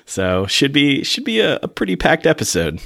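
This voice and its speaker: A man's voice: on the high side, huge pitch swings, moderate pace, gravelly, a bit bright. Casual male podcast co-host. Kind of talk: casual co-hosted podcast chat.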